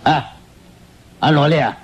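An actor playing a ruthless, scheming, hot-tempered gang boss with a thick, hoarse voice, barking orders in a radio play. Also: male voice, on the high side, takes it slowly. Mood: scheming, demanding. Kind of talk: theatrical radio drama acting. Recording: muffled lo-fi radio drama recording.

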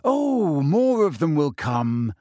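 A man voicing a stubborn, confident teenage girl in an audiobook, speaking in a forceful and defiant way. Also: a little high, animated, slow, dry recording, studio quality. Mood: cool, confident. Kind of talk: audiobook character voice.

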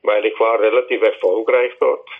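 A man from India, a musician, speaks as an interview guest over the phone in a halting way. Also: on the low side, fairly even intonation, husky. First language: Hindi, English. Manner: halting, with restarts. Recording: tinny phone line.

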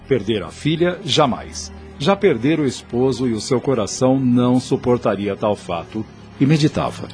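A man's voice: medium pitch, expressive, normal speed, a little mellow, soft background music. A man narrating a radio soap opera in a solemn, literary, dramatic scripted style.

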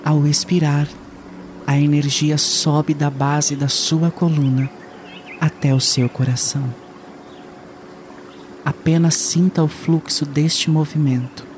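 A man leading a guided meditation in a soothing, unhurried way with long pauses. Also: a little high, little pitch movement, a little mellow, a little hiss or hum.

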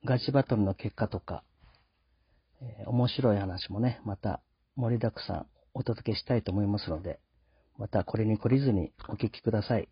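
Male host, husky voice, polite halting delivery, casual reflective monologue, dry lo-fi recording. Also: lowish voice, no room sound. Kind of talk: casual podcast sign-off monologue. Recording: dull lo-fi sound.

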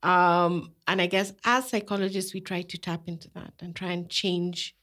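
Soft-spoken woman psychologist. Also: on the low side, little pitch movement, moderate pace. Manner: thoughtful, hesitant, many fillers. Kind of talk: casual podcast conversation.

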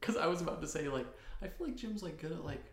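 A man's voice: a little high, lively intonation, quick pace. A young man with a slightly raised voice, chatting casually about a TV episode and agreeing readily. He laughs easily and talks in loose, filler-heavy phrases. The recording sounds echoey, as if in a bare room. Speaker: podcast co-host. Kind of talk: casual TV recap chat.

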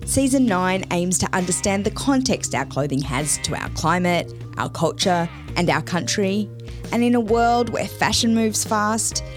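A woman reads a podcast intro in a measured, polished voice, sitting slightly low for a woman. Guitar music plays clearly underneath her. The delivery is clean and scripted. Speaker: podcast host and stylist. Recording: studio voice-over over guitar music.

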